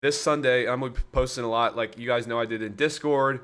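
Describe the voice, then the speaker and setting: A man's voice: mid-range voice, fairly even intonation, quick pace, a bit bright. A man hosting a casual livestream, chatting loosely and talking straight to his viewers. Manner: chatty, rambling, addresses viewers directly. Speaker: livestream host.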